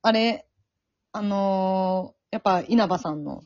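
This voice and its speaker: A woman's voice: mid-range voice, slow pace, a little mellow, no echo. A woman with a Kansai accent chatting casually as a regular on an amateur talk show. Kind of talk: casual after-show chat. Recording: dull lo-fi audio.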